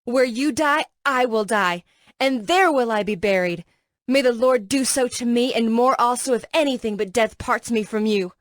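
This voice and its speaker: A woman's voice: fairly high pitch, normal speed, dry recording, studio quality, close to an everyday voice. A woman playing a devoted, resolute young widow in a dramatized audio Bible, speaking earnestly and emphatically. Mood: resolute devotion.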